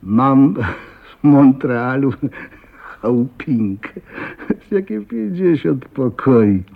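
An actor playing a lonely, earnest elderly father pleading slowly in a radio drama, with a gravelly voice. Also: mid-range voice, animated, full character voice. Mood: lonely longing. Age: older. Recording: tinny, band-limited 1980s radio recording.

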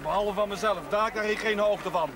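A man's voice: high voice, a little fast. An actor playing a brash, teasing village man in a television drama, with colloquial bantering speech. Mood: cocksure.

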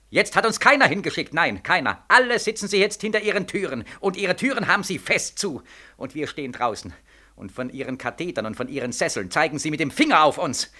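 An actor playing an embittered, desperate young soldier back from war, declaiming with bitter sarcasm in a 1940s radio play. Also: male voice, fairly high pitch, sharp tone. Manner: impassioned, declamatory, bitterly sarcastic, insistently repetitive. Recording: vintage 1940s radio recording.

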